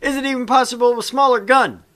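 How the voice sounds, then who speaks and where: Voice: medium pitch, fast, fairly bright tone, natural voice. A performer voicing a nervous, cheeky young male army recruit in a video game, speaking in formal soldier phrases. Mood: mock innocent.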